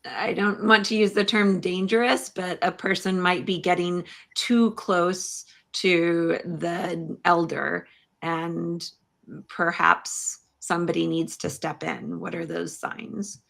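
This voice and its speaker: Woman podcast host, lowish pitch, gravelly fry, unhurried, halting questions. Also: steady tone, fairly bright tone. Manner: tentative, halting phrasing while forming questions. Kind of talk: casual interview questions.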